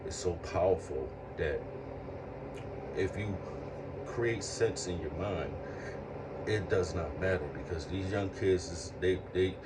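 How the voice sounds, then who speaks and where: A man's voice: deep voice, takes it slowly, pauses often, far from the mic, very noisy. A man in his late thirties speaking in an earnest, reflective monologue, often circling back over his words with repeated phrases. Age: 30s. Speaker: speaker sharing life lessons.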